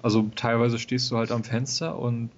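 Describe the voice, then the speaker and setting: A man co-hosting a casual gaming podcast, talking in a relaxed, hesitant way with many fillers. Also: mid-range voice, moderate pace, a little hiss or hum. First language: German. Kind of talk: casual game review chat. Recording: dull lo-fi home recording.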